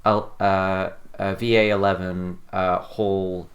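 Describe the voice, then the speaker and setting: A woman's voice: extremely low pitch, flat delivery, slow, dull audio. A woman co-hosting a podcast in casual chat, talking in a hesitant way with many false starts. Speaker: podcast co-host.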